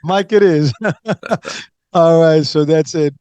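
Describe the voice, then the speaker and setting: A man's voice: fairly high pitch, takes it slowly, dark tone, clean tone, dry recording, lo-fi sound. A man hosting a health radio show, introducing his guest in a loose, rambling way with many restarts. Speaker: host.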